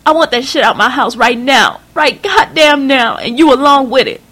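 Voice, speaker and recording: A woman voicing an enraged, cursing middle-aged mother who shouts through tears. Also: high-pitched, moderate pace, pitched above the performer's own voice. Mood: furious.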